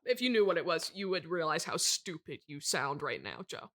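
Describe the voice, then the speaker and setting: A woman playing a pompous, brooding horror novelist in a mock interview, melodramatic and condescending, pausing for effect. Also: deep voice, very animated, a bit bright. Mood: scornful, condescending. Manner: melodramatic, condescending, deliberate dramatic pauses. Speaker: interview guest.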